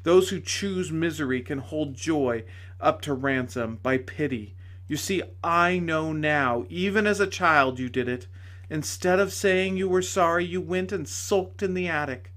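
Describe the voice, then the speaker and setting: A man reading aloud voices a kind, joyful woman who pleads softly and earnestly. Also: a little high, a little slow, voice pushed up. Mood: urgent pleading. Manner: soft, earnest, urgent pleading. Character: gentle, joyful heavenly lady, pleading.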